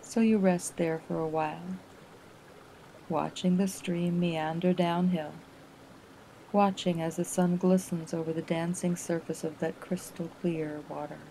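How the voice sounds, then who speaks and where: A woman narrating a guided relaxation exercise in a gentle, soothing manner, with unhurried phrasing and pauses. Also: lowish voice, flat delivery, vocal fry, a little hiss or hum, poor audio.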